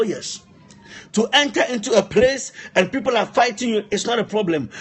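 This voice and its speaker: A man preaches in a high, husky voice. He delivers his sermon with passion, hammering phrases through repetition and pressing the listener with rhetorical questions. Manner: emphatic, repeats phrases, poses rhetorical questions. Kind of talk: impassioned sermon.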